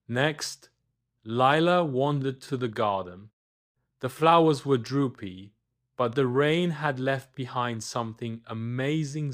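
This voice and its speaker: A man reading a scripted children's bedtime story as its narrator. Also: medium pitch.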